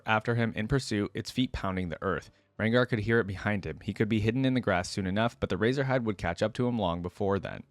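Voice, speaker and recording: A man with a low voice and a dry, close sound. He reads a story aloud as a reaction host, moving between dramatic narration and casual comment. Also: no room sound.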